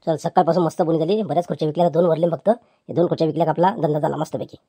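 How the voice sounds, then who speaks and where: Lady voicing a meek chair seller guy, super fast, husky, dry close sound, keeps pleading. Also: low voice, steady tone, dark tone, no echo. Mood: placating. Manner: pleading, placating, stammering restarts.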